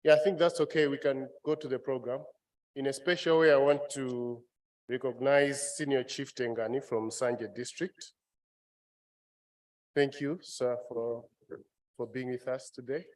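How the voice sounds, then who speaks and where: Halting man running a meeting. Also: mid-range voice, steady tone. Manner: halting, with many fillers and restarts. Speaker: meeting facilitator. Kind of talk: meeting announcements.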